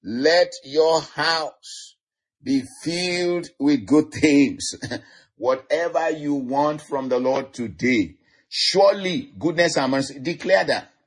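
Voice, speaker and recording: A man with a Nigerian accent preaching an online sermon, laughing softly between lines and repeating declarations. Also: a little high, slow, breathy edge. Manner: chuckles often, repeats declarations.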